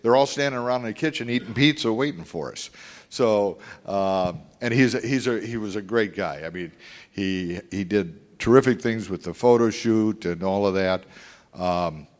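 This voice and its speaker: An older man recalling his business career in a halting, rambling way with many fillers. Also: lowish voice, big-room sound. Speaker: former industry executive. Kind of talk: oral history interview.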